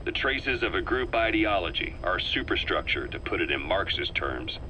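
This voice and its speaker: An actor voicing a worldly, self-assured military interrogator in a video game, wry and loyal, telling things in a measured, explanatory way. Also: male voice, low voice, sing-song, bright, forceful tone, a little hiss or hum, full character voice, low-quality recording. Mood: earnest, assured. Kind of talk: scripted video game voice acting.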